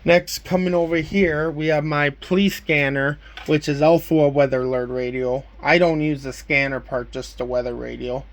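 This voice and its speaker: A man's voice: on the high side, steady tone, normal speed, few pauses. A man casually presenting and demonstrating items from his collection in a plain, matter-of-fact way. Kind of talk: casual show-and-tell demonstration.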